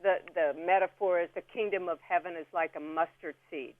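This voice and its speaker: A woman's voice: lowish voice, fairly even intonation, fairly quick, dry recording. A woman guest on a radio interview, explaining food and plants in a chatty, instructive way. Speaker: guest food and herb expert. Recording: phone-like narrow band radio call.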